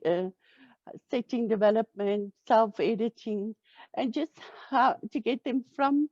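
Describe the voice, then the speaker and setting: A South African woman whose first language is Afrikaans, talking hesitantly with many pauses and fillers as an interview guest. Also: medium pitch, darkish tone, no room sound. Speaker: romance author guest. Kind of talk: casual interview. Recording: dull lo-fi audio.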